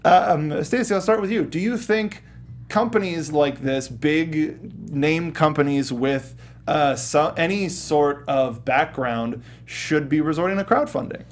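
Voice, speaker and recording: A man's voice: a little high, a little slow. A man hosting a casual panel discussion of gaming news, speaking in stop-start phrases. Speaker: host.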